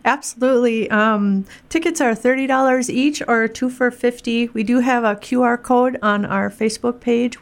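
Female event organizer, earnest and steady, monotone delivery, mid pitch. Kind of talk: casual interview.

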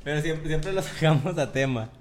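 A co-host with a Mexican accent and a very animated, swooping delivery. The talk is casual, slangy and joking, as on a friendly podcast. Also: medium pitch, moderate pace, slight background noise. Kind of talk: casual chat.

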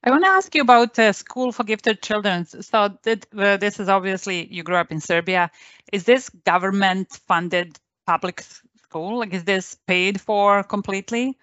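A woman's voice: mid-range voice, moderate pace, fairly bright tone, dry recording, highs cut off. A woman interviewing a guest, asking curious questions in loose phrasing with fillers.

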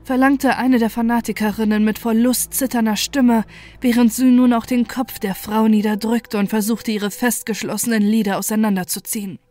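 Dark, mellow woman narrating horror. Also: fairly high pitch, steady tone, normal speed. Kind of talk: scripted first-person horror narration. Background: music.

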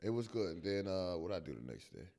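A young American man with a low voice co-hosting a podcast, chatting casually with a friend in slangy, sweary talk. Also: sing-song, fast talker, mellow. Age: young adult. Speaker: podcast co-host.

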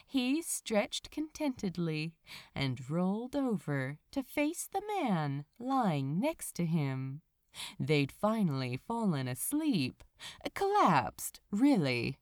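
A woman narrates fiction aloud in an expressive audiobook style. Her pitch rises and falls dramatically across phrases, and she reads at a slow, measured pace. The sound is dry, with hardly any room. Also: no echo. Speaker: podfic reader, narrator.

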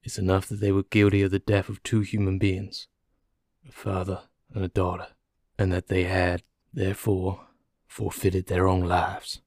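Man voicing a low, weary, placid doomed prisoner. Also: monotone, a little mellow, no room sound, clean studio sound. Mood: methodical, resigned. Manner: slow, placid, methodical. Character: calm, weary, doomed male prisoner confessing. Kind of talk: audiobook character dialogue.

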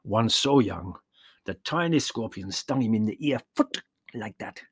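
A man voicing a toothless old shepherd who tells gruesome tales slowly and with relish. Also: fairly low pitch, expressive, slightly rough, no echo, clean studio sound. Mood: storytelling. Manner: slow, dramatic, relishing gruesome detail. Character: toothless old male village shepherd, gossipy storyteller. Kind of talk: audiobook character voice.

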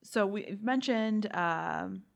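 A woman's voice: medium pitch, slow, vocal fry, lo-fi sound. A woman interviewer from New York with a New York accent, asking curious questions in a friendly way with laughs.

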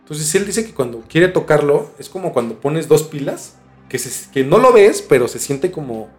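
A man with a Mexican accent in a casual, colloquial podcast conversation, explaining a story in a halting, conversational way. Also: on the high side, very animated, a little mellow. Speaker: podcast host telling a case. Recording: music under the voice. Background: background music.

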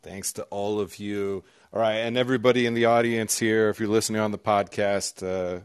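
A male host with a low voice moderates a panel discussion. His manner is easygoing and upbeat, and his talk is loose and full of fillers. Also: steady tone, brisk, smooth. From Southern California. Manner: casual and upbeat, with many "uh" fillers.

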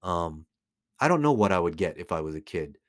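Low-voiced middle-aged American man, close and dry. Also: sing-song, brisk, no room sound. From United States. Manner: emphatic, repeats phrases for stress. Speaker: podcast host, collectibles dealer. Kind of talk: informal opinionated commentary to listeners.

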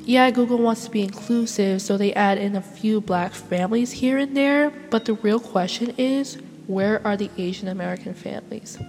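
A woman's voice: medium pitch, normal speed, few pauses, a little mellow, long reverb tail, faint music behind. Congested, outspoken young woman. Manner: outspoken and opinionated. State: congested from illness. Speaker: education student. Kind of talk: solo class podcast reflection.

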